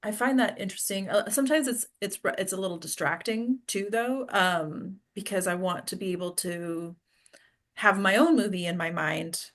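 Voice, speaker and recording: A woman hosts an informal discussion in a medium-pitched voice. She talks thoughtfully and conversationally, often turning to the others for their take. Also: moderate pace. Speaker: host of an acting discussion. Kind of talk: casual group discussion.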